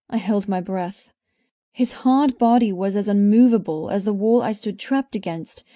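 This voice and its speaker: A woman reading first-person romance fiction as an audiobook narrator, with intense, expressive delivery. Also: mid-range voice, no echo, muffled recording.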